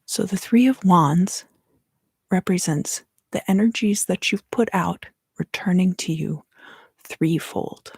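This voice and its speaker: Asmr tarot lady, really slow whispery talk, lowish voice, dry close mic, hushed and careful. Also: vocal fry, slightly rough, no room sound. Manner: hushed, unhurried, deliberate phrasing. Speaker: tarot reader and ASMR host. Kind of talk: ASMR tarot reading, whispered and softly spoken. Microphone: close microphone.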